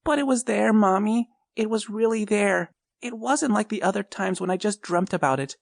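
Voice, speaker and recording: A man's voice: high voice, normal speed, vocal fry, no echo, studio quality, voice pushed up. A man voicing a frightened little boy who pleads tearfully in a bedtime horror story. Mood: insistent. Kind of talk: storybook reading.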